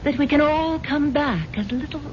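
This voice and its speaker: Actress as a dreamy, high-voiced gullible wife. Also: female voice, normal speed, a little hiss or hum, natural voice. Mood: rapturous, earnest. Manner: gushing, earnest, breezily airy. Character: dreamy, gullible, wealthy wife devoted to spiritual fads. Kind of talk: 1970s radio drama acting. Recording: dull vintage radio recording with music.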